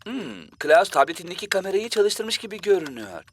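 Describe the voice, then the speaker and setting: A high-voiced cartoon tech conspirator. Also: male voice, big pitch swings, brisk, a little hiss or hum. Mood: focused, conspiratorial. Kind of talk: animated cartoon dub acting.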